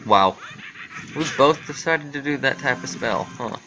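A man's voice: medium pitch, unhurried. A man giving casual, rambling gameplay commentary, muttering reactions to himself as he plays. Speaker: gaming video host. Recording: clearly noisy home recording.

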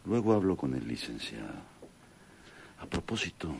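Villano mexicano gravísimo y rasposo. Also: male voice, rumbling low, big pitch swings, moderate pace, darkish tone, slight background noise, exaggerated voice. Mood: gloating, scheming. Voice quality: gravelly. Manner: muttering to himself, theatrical. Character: greedy, vengeful, scheming male villain landowner. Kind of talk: Mexican radio drama acting.

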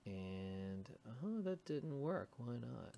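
A man's voice: medium pitch, sing-song, takes it slowly, creaky, mellow. A man giving a casual screencast coding walkthrough as a tutorial host, thinking aloud as he types. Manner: thinking aloud while typing. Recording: typing and mouse clicks, faint noise. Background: computer keyboard typing and clicks.

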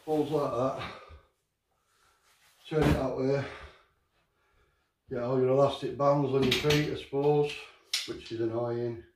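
A man's voice: mid-range voice, steady tone, unhurried, pauses often. Middle-aged northern English man, distant and roomy. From England. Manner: rambling, matter-of-fact, hands-on while assembling gear. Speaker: outdoor gear reviewer. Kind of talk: casual product review. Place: living room at home, indoors. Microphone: far camera on a tripod. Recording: roomy, distant camera audio, faint noise.

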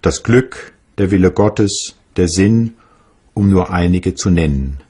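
An actor playing a reflective German physicist reading his memoirs in a husky, measured, philosophical way. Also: low-pitched, expressive, mellow, exaggerated voice. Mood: pondering.

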